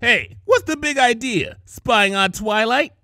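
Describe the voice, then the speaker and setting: A man's voice: very high-pitched, expressive, a little slow, bright, forceful tone, no room sound, clean studio sound, voice pushed up, exaggerated voice. A man voicing a brash, bossy young female cartoon pegasus who scolds and mocks in a story reading. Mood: brash, suspicious. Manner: scolding, mocking. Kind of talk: expressive fan fiction character reading.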